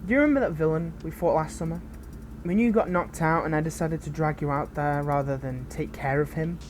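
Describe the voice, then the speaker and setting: A woman playing a protective, bitter but caring fallen hero in an audio roleplay, speaking intimately and earnestly with halting, dramatic pauses. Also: low voice, a little mellow, a little hiss or hum, natural voice. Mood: explanatory, hesitant. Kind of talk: scripted audio roleplay addressed to the listener.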